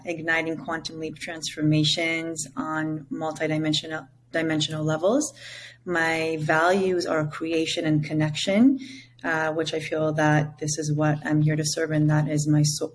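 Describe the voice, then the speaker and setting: A woman from Israel, a native Hebrew speaker with an Israeli accent, speaking slowly and searchingly as a podcast guest over a video call. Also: low-pitched, slight background noise. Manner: slow, measured and searching, with many pauses and fillers. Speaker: guest, psychedelic integration coach. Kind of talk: reflective podcast conversation. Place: home with a window onto jungle.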